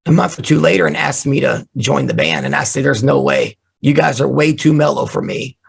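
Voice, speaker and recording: A man who is losing his voice, hoarse, telling animated, joking stories about his drumming start as an interview guest on a remote call. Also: medium pitch, a little fast. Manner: animated storyteller, jokey. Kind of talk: casual storytelling interview.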